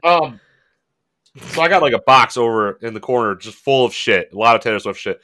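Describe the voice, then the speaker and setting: A man's voice: mid-range voice, lively intonation, brisk, sharp tone. A young man co-hosting a podcast, bantering in a joking, laid-back way. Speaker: podcast co-host. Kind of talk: casual chat.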